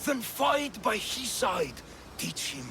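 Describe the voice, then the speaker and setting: A man's voice: high voice, big pitch swings, takes it slowly, creaky, background noise, heavily acted. A man playing a manipulative Athenian demagogue villain in a game, wheedling and theatrical as he bargains. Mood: persuasive. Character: smug, manipulative Athenian demagogue villain begging for his life. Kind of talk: dramatic video game dialogue.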